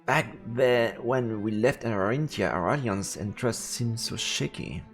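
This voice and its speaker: A man's voice: medium pitch, a little slow. A man reading visual novel narration aloud as he streams a let's play. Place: indoors, streaming setup. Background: faint game music.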